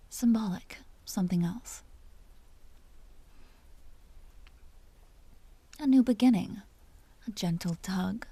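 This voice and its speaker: A woman playing a commanding, teasing vampire duchess with a tender side, speaking softly and often in whispers in an intimate audio roleplay. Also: mid-range voice, no room sound. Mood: possessive, playful. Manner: soft, breathy, often dropping into whispers; teasing, possessive, imperious.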